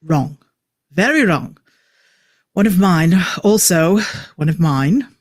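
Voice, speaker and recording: A man's voice: fairly high pitch, animated, slow pace, no room sound, studio quality. A man acting an eccentric, opinionated time-traveller in a script table read, with clipped, emphatic delivery. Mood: smug, enthusiastic. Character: eccentric, opinionated male time-traveller, a know-it-all enthusiast.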